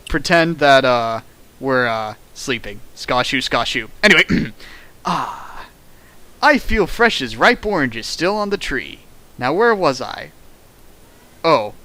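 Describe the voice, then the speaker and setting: Young male host, comedic dramatic reading, bright and lively, goes high for jokes. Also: takes it slowly, rough recording. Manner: animated, jumps in pitch for jokes and sound effects. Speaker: host reading a fan fiction aloud.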